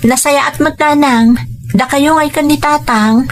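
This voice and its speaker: A woman's voice: high voice, measured pace. A woman playing a hurt, devoted grown daughter in a sentimental family drama. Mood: tender concern. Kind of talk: sentimental drama phone dialogue.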